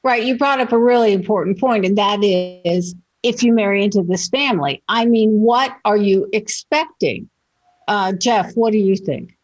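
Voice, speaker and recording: A woman's voice: mid-range voice, moderate pace, a little mellow, no echo, highs cut off. Woman moderating a panel, dry close sound. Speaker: panel host.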